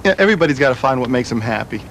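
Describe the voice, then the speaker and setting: A man bantering in an interview, playful and teasing with quick comebacks. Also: medium pitch, animated, a bit bright, slight background noise. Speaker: band member being interviewed.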